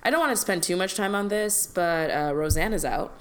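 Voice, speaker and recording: Low-voiced young woman bantering. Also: expressive, fairly bright tone. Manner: quick, laughing, frank banter. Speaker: podcast co-host. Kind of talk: casual chat.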